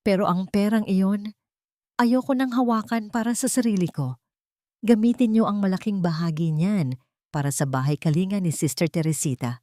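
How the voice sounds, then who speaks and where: A woman playing a calm, forgiving widowed former policewoman with a gentle, composed manner in audiobook dialogue. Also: lowish voice, no room sound, clean studio sound, lightly acted.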